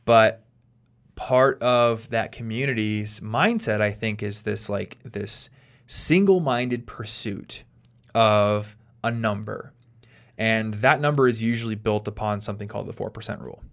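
A man in his late twenties hosting a podcast, explaining things in a relaxed chat while thinking aloud and restarting his sentences. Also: fairly low pitch, a little slow. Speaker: podcast host.

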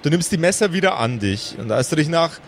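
A man working as game master, narrating an improvised tabletop adventure in deliberate, descriptive phrases. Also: on the high side, lively intonation, a little hiss or hum. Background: music under the voice.

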